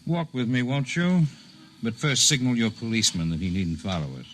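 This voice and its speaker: An actor playing a serene, enigmatic Indian healer in a 1950s radio drama, speaking in a formal, measured, courteous way. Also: male voice, mid-range voice, big pitch swings, fairly bright tone. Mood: serene, teasing. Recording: noisy 1950s radio broadcast recording.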